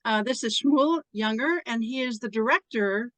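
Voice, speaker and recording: A woman's voice: on the high side, normal speed, fairly bright tone, no echo. A woman hosting an interview show, asking questions in a friendly, earnest way. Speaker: show host.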